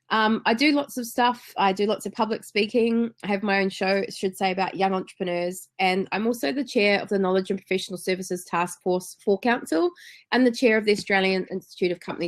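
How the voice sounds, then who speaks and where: Upbeat Kiwi woman hosting a webinar. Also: mid-range voice. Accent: New Zealand. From New Zealand. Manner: enthusiastic, chatty, frequent ums. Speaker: webinar host.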